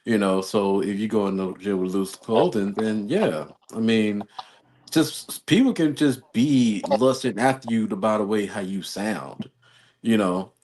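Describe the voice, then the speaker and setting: A man's voice: on the low side, measured pace, a little mellow, slight background noise. An American man in a casual talk-show chat, rambling through a personal story as host. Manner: rambling, storytelling with quoted exchanges.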